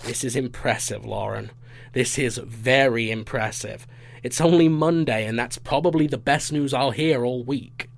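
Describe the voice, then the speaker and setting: A man playing an appreciative, measured boss in an audio drama, with a gravelly voice. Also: medium pitch, slight background noise, close to an everyday voice. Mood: impressed, pleased.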